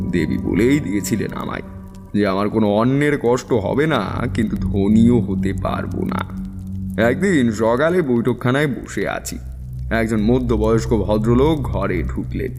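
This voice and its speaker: An actor playing a seasoned, middle-aged tantric astrologer, reminiscing in a creaky, reflective way as in a dramatised audiobook. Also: male voice, on the low side, very animated, a little slow, a little mellow, big-room sound, soft background music. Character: Taranath, seasoned Bengali tantric astrologer, easygoing storyteller.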